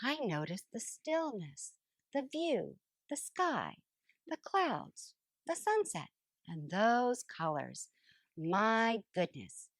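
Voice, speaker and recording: A woman voicing a smug, lazy couch potato in an expressive, theatrical storybook read-aloud. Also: a little high, no room sound, heavily acted. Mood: wondering, calm. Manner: theatrical, exclamatory, drawn-out emphasis. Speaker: storybook narrator character. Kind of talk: expressive first-person picture book read-aloud.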